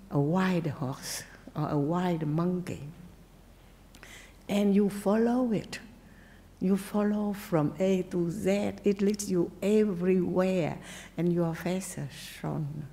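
A woman Buddhist nun, not a native English speaker, giving a gentle dharma talk with simple phrasing and pauses. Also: lowish voice, big-room sound. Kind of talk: dharma talk and meditation teaching.

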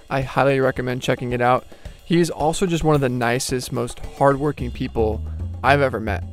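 Man hosting a podcast intro. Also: mid-range voice. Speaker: podcast host.

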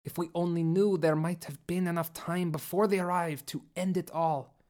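Brief: narrator as earnest alien scientist, quiet, grave delivery. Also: mid-range voice, fairly even intonation, moderate pace, natural voice. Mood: somber. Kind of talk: audiobook character voice.

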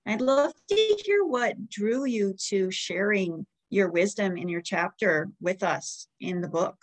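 A woman's voice: medium pitch, unhurried. An American woman in her forties hosting a podcast, interviewing in a chatty, affirming, conversational way. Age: 40s. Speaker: podcast host.

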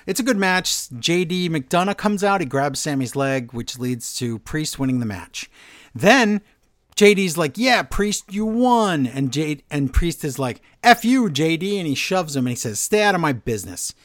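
A man co-hosting a podcast, recapping wrestling shows in an animated, storytelling way and acting out what the wrestlers said. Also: on the high side, moderate pace, no echo, studio quality. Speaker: podcast co-host. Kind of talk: casual wrestling recap chat.

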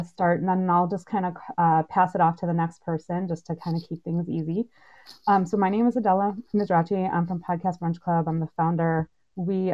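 A woman's voice: lowish voice, a little mellow. A woman moderating a panel in a casual, unscripted way. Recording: slightly dull lo-fi online audio.

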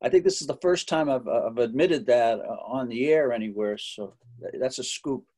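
A man's voice: medium pitch, normal speed, warm tone. Chatty middle-aged man telling stories. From Massachusetts. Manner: chatty, joking, many fillers and restarts. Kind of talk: relaxed interview storytelling.

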